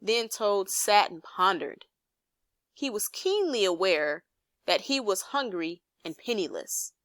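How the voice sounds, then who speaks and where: Female storybook narrator: expressive read-aloud, mid pitch, unhurried, very dry room. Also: no echo. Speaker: storybook reader. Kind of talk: expressive scripted narration for children.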